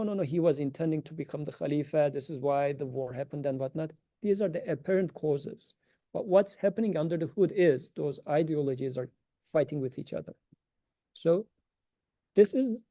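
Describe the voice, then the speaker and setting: A man's voice: on the high side, creaky, mellow, dry recording, studio quality. A man giving an informal religious lecture, earnest and emphatic, arguing his points and posing rhetorical questions. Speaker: religious teacher giving a talk.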